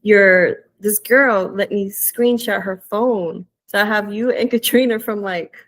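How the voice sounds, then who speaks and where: A woman's voice: mid-range voice, a little slow, a bit bright. A woman guest on a podcast, talking openly and reflectively in a casual chat. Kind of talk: casual conversation.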